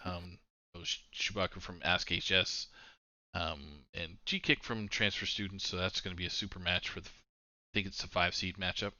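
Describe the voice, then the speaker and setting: Low bright-toned male stream host. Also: moderate pace, no room sound, dull audio. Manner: relaxed, rambling with fillers. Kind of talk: casual chat and announcements.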